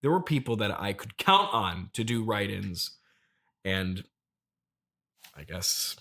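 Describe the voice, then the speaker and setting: A grieving, mystical turnip farmer, played by a man in an improvised comedy interview. The voice sits on the low side. He rambles in halting, earnest bursts, like a wounded true believer. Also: very animated, fairly bright tone, exaggerated voice. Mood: wounded, defensive.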